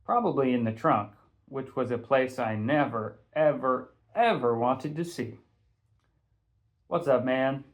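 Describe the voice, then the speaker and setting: Male narrator, medium pitch, unhurried, conversational, expressive, audiobook storytelling. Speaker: teacher reading a novel aloud. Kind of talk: audiobook narration in first person.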